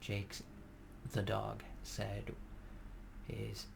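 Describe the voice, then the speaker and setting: A man speaks softly in a gentle ASMR ramble, pausing often and filling gaps with small hesitations. His low voice carries a heavy gravelly fry and stays flat in pitch. A clear hiss sits behind him. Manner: soft-spoken, rambling with many fillers. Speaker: ASMR creator. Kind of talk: soft-spoken ASMR ramble.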